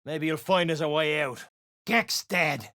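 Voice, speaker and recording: An actor voicing a gruff, surly dwarven raider guard in a video game, coarse and slangy, grumbling and barking orders. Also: mid-range voice, a little slow, sharp tone, no room sound, studio quality. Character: gruff, surly duergar raider guard, rough-tongued underling. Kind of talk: video game character voice lines.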